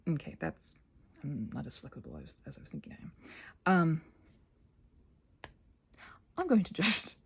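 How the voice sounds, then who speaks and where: A woman hosting a rambling craft ASMR chat with a husky voice, in an expressive, tentative, self-deprecating way that meanders. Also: fairly low pitch. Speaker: channel host.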